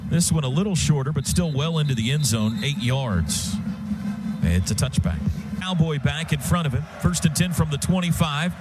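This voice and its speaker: A man's voice: fairly high pitch, lively intonation, moderate pace, loud music behind the voice, poor audio. An American man calling live football play-by-play on the radio in clipped, present-tense phrases. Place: stadium radio booth. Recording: live radio broadcast, loud stadium sound behind.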